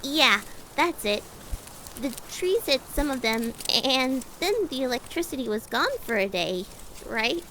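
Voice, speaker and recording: A woman's voice: high-pitched, lively intonation, a little slow, fairly bright tone, exaggerated voice. A woman voicing a nervous, hesitant female scientist creature who stammers, in an audio drama with noisy ambience. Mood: nervous recall. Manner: stammering, unsure. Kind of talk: fan audio drama acting.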